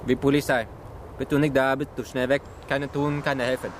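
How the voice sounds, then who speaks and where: An actor plays a terse Vietnamese migrant man in a radio drama. He speaks in halting, broken fragments, stubbornly, at an average pitch. Faint outdoor noise surrounds him. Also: little pitch movement, lightly acted. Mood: stubborn, pleading. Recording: noisy outdoor scene with vehicles.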